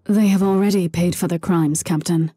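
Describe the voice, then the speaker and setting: A woman's voice: on the low side, steady tone, normal speed, dark tone, breathy edge. Stern commanding woman inquisitor, over music. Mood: firm. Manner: formal, curt, authoritative. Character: stern, commanding female inquisitor, impatient and humorless. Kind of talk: sci-fi video game voice acting.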